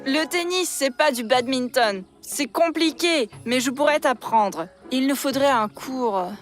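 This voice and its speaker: A woman's voice: high-pitched, measured pace. A woman voicing a boastful, evasive would-be sports champion in a French children's cartoon, with a drawling, self-important manner. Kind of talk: French children's animated series dubbing. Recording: music behind the voice.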